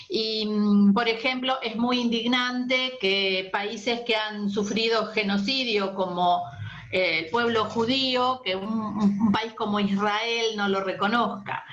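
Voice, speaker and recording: Slow, hesitant woman on dull audio. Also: on the high side, a bit bright. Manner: many fillers and pauses, earnest. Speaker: interviewee. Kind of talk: hesitant, candid interview answers.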